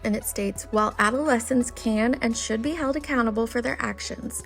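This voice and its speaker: A woman reads her commentary script in an earnest, critical tone. Her voice sits fairly high with a gravelly, creaky edge. Faint music plays under her. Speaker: commentary channel host.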